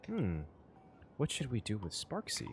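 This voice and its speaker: A man's voice: mid-range voice, huge pitch swings, measured pace, gravelly, slight background noise. A man streaming a video game, giving casual reaction commentary as he plays.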